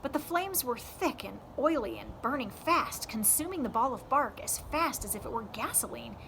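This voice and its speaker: A woman with a fairly high voice reads aloud like an audiobook host. Her delivery is deliberate and emphatic, shaped for dramatic storytelling. There is faint noise on the amateur recording. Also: lively intonation, vocal fry, bright, forceful tone, poor audio. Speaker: read-aloud host for a youth scouting program. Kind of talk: expressive audiobook-style read-aloud narration.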